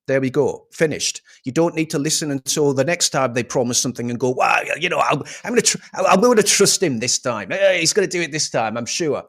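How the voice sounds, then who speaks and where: Scornful ranting man, slightly high voice. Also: lively intonation, brisk, few pauses, a bit bright. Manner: scornful, swearing, sarcastic. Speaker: political commentator. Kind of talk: ranting political commentary.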